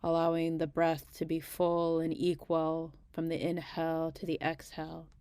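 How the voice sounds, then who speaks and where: A woman guiding a meditation in a gentle, unhurried manner, leaving long pauses between short phrases. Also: low voice, completely flat delivery, smooth, no echo. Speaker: meditation guide. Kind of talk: guided body scan meditation.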